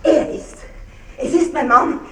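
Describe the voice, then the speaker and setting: An actress playing a wronged wife who laments and accuses melodramatically in a radio play. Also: female voice, high-pitched, slow pace, pushed and bright, heavily acted. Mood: accusing, insistent. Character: theatrical wronged wife in mourning, wailing and accusing. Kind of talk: 1960s German radio play acting. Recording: roomy, echoing radio studio recording.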